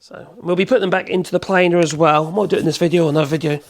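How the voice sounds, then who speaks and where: A man with a cold, hoarse, chatting in a rambling way as he demonstrates in his workshop. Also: fairly high pitch, fairly even intonation, very fast. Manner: rambling, chatty, self-correcting, chuckles. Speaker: woodworking channel host.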